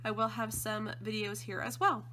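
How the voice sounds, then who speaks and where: A woman with a slightly croaky voice from allergies, chatting casually and gushing with enthusiasm as she unboxes craft supplies. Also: medium pitch, little pitch movement, normal speed, pushed and bright, a little hiss or hum. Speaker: scrapbooking design team member.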